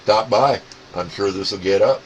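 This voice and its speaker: A man's voice: fairly low pitch, normal speed, muffled recording, noisy background. An American man from upstate New York chatting casually as a CB radio operator, using call signs and radio jargon. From upstate New York, USA. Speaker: amateur CB radio operator, channel co-host. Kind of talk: casual radio contact chat.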